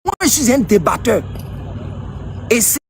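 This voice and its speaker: High-voiced man mocking his opponent. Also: very animated, unhurried, creaky, a little hiss or hum. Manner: mocking, sarcastic, speaks to viewers. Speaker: debater. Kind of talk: live online debate.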